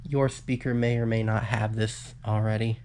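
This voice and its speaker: A man's voice: fairly low pitch, flat delivery, normal speed, darkish tone, slight background noise. A man giving a casual hands-on electronics tutorial, informal and muttering the odd aside as he works. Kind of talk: casual hands-on how-to narration.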